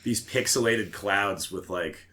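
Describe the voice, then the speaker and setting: Very low-voiced man. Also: slow pace, bright, forceful tone. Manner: blunt, frequently swearing. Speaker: podcast co-host. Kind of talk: casual chat about movies.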